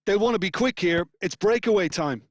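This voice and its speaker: A man's voice: sits high, animated, a little fast, no echo. A man voicing an analytical football co-commentator in a video game, explaining play in a conversational way.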